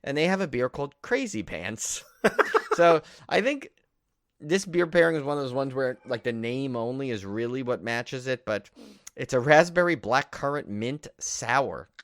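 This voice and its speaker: A man's voice: mid-range voice, animated, moderate pace, no room sound, studio quality. A man co-hosting a horror film podcast in casual chat, rambling and jokey as he talks. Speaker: podcast co-host.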